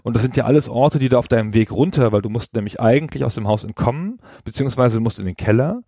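A man's voice: mid-range voice, lively intonation, fast, warm tone, no echo, studio quality. A man hosting a podcast, explaining video games in a casual, colloquial, conversational way. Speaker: podcast host. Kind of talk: casual video game discussion.

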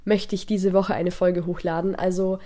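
A woman's voice: fairly low pitch, little pitch movement, moderate pace, warm tone. A young woman hosting a podcast, talking reflectively while she has a cold that makes her voice a little nasal, with plenty of fillers. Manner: thoughtful, reflective, with frequent fillers. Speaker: podcast host. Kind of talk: casual spoken podcast monologue.

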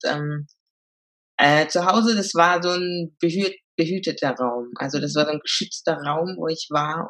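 A woman's voice: low voice, fairly bright tone, low-quality recording. A woman, telling her story as a podcast guest, hesitant, with many fillers. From Germany. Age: 20s. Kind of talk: casual interview talk.

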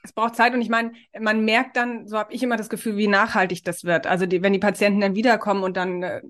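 A woman's voice: medium pitch, flat delivery, fast. A woman hosting a podcast, talking in an upbeat, encouraging, chatty way. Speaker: podcast host. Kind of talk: casual podcast interview.

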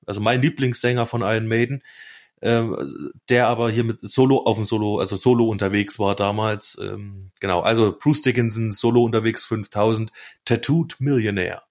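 A man's voice: lowish voice, fairly even intonation, moderate pace. A man co-hosting a casual podcast, chatting colloquially and enthusiastically with friends. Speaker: podcast co-host. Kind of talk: casual chat among friends.

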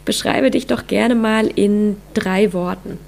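A woman hosting a podcast, reading an intro and then chatting with a guest, her voice hoarse from a cold. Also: mid-range voice, takes it slowly, a little mellow. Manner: upbeat, with many fillers. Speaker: podcast host. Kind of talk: interview podcast, scripted intro then chat.

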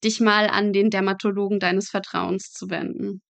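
A woman's voice: medium pitch, flat delivery, moderate pace, creaky, a little hiss or hum. A young woman, a guest telling stories in a casual, chatty and emphatic interview style. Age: young adult. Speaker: podcast guest, medical student working in pathology.

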